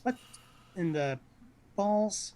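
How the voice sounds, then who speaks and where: A man's voice: sits high, expressive, drawn-out words, a crowd in the background. A man casually chatting as he watches a video game, teasing and joking in slangy talk. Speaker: commentator on a video game stream.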